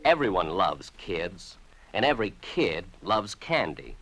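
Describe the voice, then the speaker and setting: A man's voice: low voice, very animated, a little slow, a bit bright, low-quality recording. An American man delivering a scripted, patriotic public service narration for radio in an announcer's manner.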